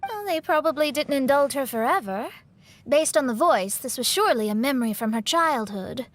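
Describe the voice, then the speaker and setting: A woman's voice: sits high, animated, a little fast. A woman playing a proud, dramatic young astrologist in an anime-style video game, haughty and emphatic. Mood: composed, inquisitive.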